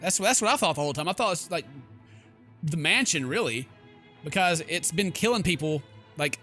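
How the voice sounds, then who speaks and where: A man's voice: a little high, expressive, normal speed, pushed and bright. A man hosting a casual game playthrough, joking and exclaiming as he comments and reads text aloud. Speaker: gaming video host. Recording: faint game music behind the voice.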